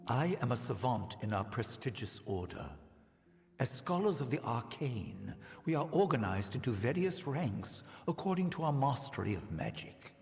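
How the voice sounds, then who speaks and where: A man's voice: mid-range voice, very animated, moderate pace, vocal fry, darkish tone. A man voicing a haughty senior scholar of magic in a fantasy game, pompous and condescending, with dry, precise wording. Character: haughty male senior scholar of an arcane order. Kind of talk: scripted game dialogue. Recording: long hall reverb, background music.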